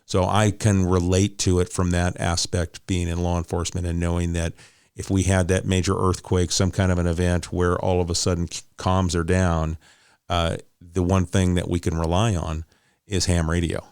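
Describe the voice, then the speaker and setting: Deep-voiced man hosting a conversational interview. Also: little pitch movement, normal speed, darkish tone, clean tone, no room sound, studio quality. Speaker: interviewer, host; law enforcement officer.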